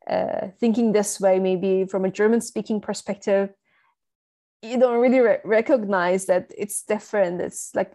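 A woman's voice: medium pitch, dark tone. A woman hosting an interview, thoughtful and searching for words. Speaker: host. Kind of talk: conversational interview.

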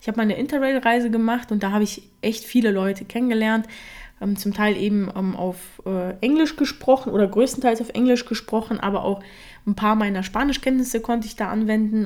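A woman's voice: on the high side, a little mellow. A young woman hosting her own podcast, who grew up in Germany with Russian as her first language, talking casually with many fillers. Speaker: podcast host. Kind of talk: casual solo podcast talk.

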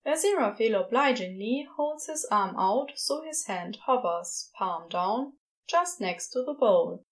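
A woman's voice: mid-range voice, low-quality recording. A woman narrating scripted fiction as the storyteller of an audio drama.

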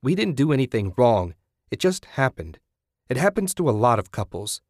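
A man's voice: medium pitch, sing-song, normal speed, a little mellow, dry recording, clean studio sound, voice pushed up. A man voicing a scared but defiant young woman captive in an audiobook, speaking with urgency. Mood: alarmed. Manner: urgent, indignant, pleading. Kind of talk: dramatic audiobook character voice.

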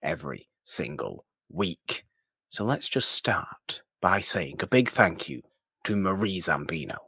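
A man's voice: deep voice, big pitch swings, measured pace, sharp tone, slightly rough, no room sound, studio quality. A man co-hosting a podcast in casual film discussion, often repeating his words for emphasis as he goes. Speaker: podcast co-host.

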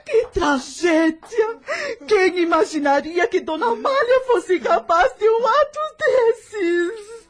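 A tearful, wailing household woman in a radio drama. Her voice is very high and drawn out slowly, with music behind it. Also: expressive, exaggerated voice. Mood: tearful shock. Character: distraught household woman weeping.

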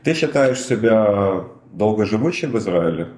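A man's voice: lowish voice, expressive, moderate pace, a little mellow, reverberant. A man hosting a podcast, asking long, probing questions. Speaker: podcast host. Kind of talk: interview questions.

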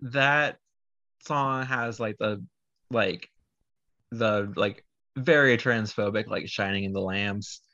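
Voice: mid-range voice, takes it slowly, long pauses, pushed and bright, muffled recording. Laughing rambling podcast host. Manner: laughs often, rambling, self-correcting. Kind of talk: casual chat.

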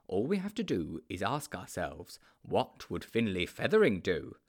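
Man as storybook amateur detective, pompous, self-important, grandly adventurous, emphatic, highly animated pitch. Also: unhurried, exaggerated voice. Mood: smug. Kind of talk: storybook character voice.

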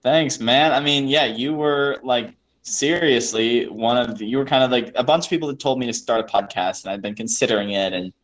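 A man's voice: medium pitch, fairly quick, hardly any pauses. Rambling male podcast host. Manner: warm, rambling, many fillers and restarts. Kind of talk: casual interview chat.

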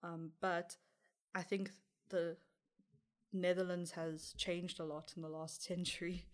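A woman's voice: lowish voice, flat delivery, takes it slowly. A young woman with a South African accent answering interview questions in a chatty way, laughing lightly now and then. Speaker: interview guest.

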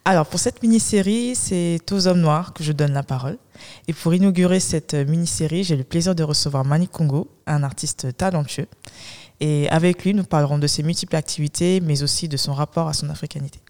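A woman's voice: deep voice, fairly quick, darkish tone. A woman hosting a casual podcast interview, asking questions in an enthusiastic, complimentary way with some hesitant restarts. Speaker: podcast host.

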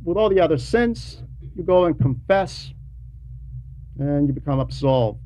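Slow, higher-pitched man preaching. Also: lively intonation, dark tone. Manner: deliberate, with pauses between short phrases and repetition for emphasis; didactic, poses rhetorical questions. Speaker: religious teacher reading a translated homily to a class. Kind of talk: homily, teaching a class. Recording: dull lo-fi recording with faint noise.

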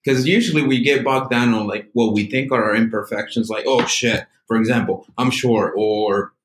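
A man's voice: medium pitch, steady tone, moderate pace, a little hiss or hum. A man with a Mexican accent in casual conversation, speaking frankly and plainly about self-acceptance. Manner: frank, casual, swears, playful laughs. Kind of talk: casual reflective chat. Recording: echoey room.

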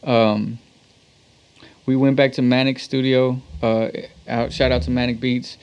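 A man's voice: mid-range voice, flat delivery, unhurried, a little mellow, smooth, dull audio. Male musician from Texas, interview guest. Casual storyteller, loose slang and swearing. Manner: slangy, profane, conversational. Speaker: musician guest, talk box player.

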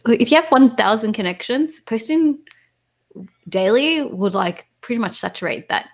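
A woman's voice: on the high side, animated, normal speed. A woman giving casual, candid answers as an interview guest, correcting herself as she goes. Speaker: interview guest, online content creator.

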